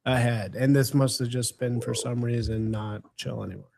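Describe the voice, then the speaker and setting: A man in his thirties hosting a podcast, chatting casually with friends, excitable and incredulous, laughing often and swearing freely. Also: medium pitch, normal speed, creaky, a little hiss or hum. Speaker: podcast host. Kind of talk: casual banter among friends.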